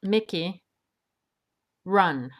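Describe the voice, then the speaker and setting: A woman's voice: on the low side, animated, drawn-out words. A woman acting a practical swashbuckling heroine in an audio drama, with a dry, sardonic manner. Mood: impatient.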